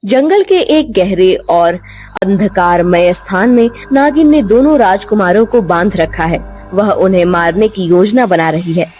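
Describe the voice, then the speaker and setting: Female storyteller, medium pitch, scripted bedtime fairytale narration, light music bed. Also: animated, measured pace, a little mellow.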